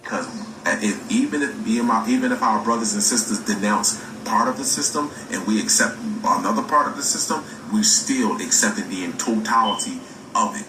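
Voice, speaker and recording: A man's voice: on the low side, moderate pace. A man in his forties giving an impassioned, unscripted, preachy talk, emphatic and repetitive, swearing as he challenges the listener. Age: 40s. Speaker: speaker on a spiritual talk video. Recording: echoey, distant mic with music behind.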